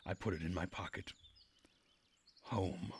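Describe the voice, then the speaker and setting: Low, husky man narrating a game. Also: fairly even intonation, a little slow. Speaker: streamer playing a visual novel. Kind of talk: dramatic read-aloud narration of a game.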